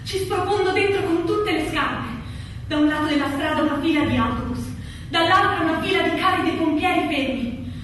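A woman's voice: very high-pitched, a little fast, fairly bright tone. A woman playing a young fireman's wife, devoted, desperate and stubborn, in an intense, insistent style of radio drama acting. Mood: haunted narrating. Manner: insistent, repetitive pleading. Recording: echoey room from far off, faint noise.

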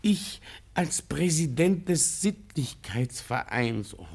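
Flustered gravelly politician, swooping and stammering. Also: male voice, fairly high pitch, sing-song, slow, a little mellow, full character voice. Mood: agitated, despairing. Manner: stammering, agitated, evasive. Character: flustered, panicky hypocritical politician and morality society president. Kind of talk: classic German radio drama acting.

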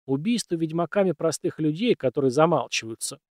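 A man's voice: on the high side, animated, fairly quick, no room sound, clean studio sound, voice pushed up, close to an everyday voice. A man voicing a curious young prince and pupil in an audiobook, questioning and grumbling. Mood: indignant. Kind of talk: audiobook character dialogue.

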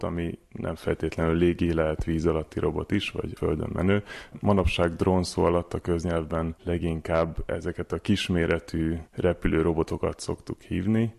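A man with a low, creaky voice explains things methodically as an interview guest. His intonation is quite flat, and he lays out each point in an explanatory way. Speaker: university research fellow, interview guest.

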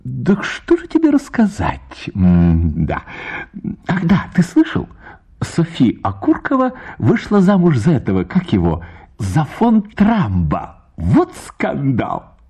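A man's voice: medium pitch, huge pitch swings, takes it slowly, warm tone, breathy edge, pitched above the performer's own voice, exaggerated voice. A man voicing an indignant, naive young wife who moralizes emphatically in a dramatized short story. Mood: gossipy.

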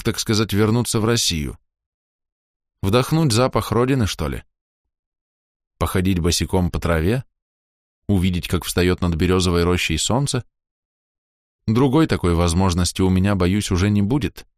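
A wistful gentleman scientist in his sixties , voiced by a male audiobook reader. He speaks formally and politely, in a low, measured voice with a dry sound. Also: no room sound, lightly acted. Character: wistful, courteous scientist of nearly sixty-five, male.

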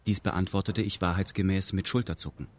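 An actor playing a detached first-person narrator in a radio drama, speaking in formal, pedantic, deadpan prose. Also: male voice, low voice, brisk, a little hiss or hum, lightly acted. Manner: formal, deadpan, stilted indirect speech.

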